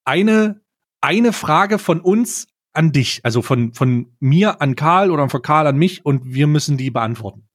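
A man's voice: fairly high pitch, lively intonation, measured pace. A man hosting a casual comedic podcast, talking in an informal, jokey, slangy way. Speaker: podcast host.

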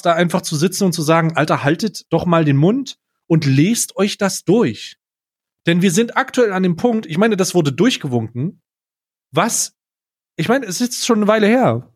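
A young man co-hosting a podcast, giving blunt, casual opinions in slangy words with halting starts. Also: on the high side. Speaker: podcast co-host.

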